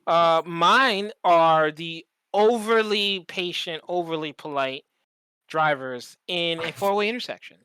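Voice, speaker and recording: A podcast co-host chatting casually and comedically, acting out examples and swearing freely in an animated storytelling manner. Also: medium pitch, a little slow, clean tone, no echo.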